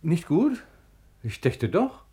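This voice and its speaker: Animated, theatrical sultan. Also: male voice, a little high, slow pace, slightly rough, exaggerated voice. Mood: relaxed, distracted. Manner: theatrical, declamatory stage diction. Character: proud, generous, careworn sultan, devoted brother. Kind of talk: 1950s German radio play acting.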